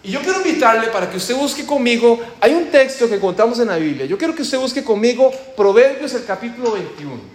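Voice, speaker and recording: A man's voice: very high-pitched, big pitch swings, fast, few pauses. A man with a Costa Rican accent preaching and teaching in a church, praying and asking the congregation questions with emphasis. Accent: Costa Rican Spanish. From Costa Rica. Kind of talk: church teaching talk with prayer. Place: large echoey church hall. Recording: very echoey hall with faint noise.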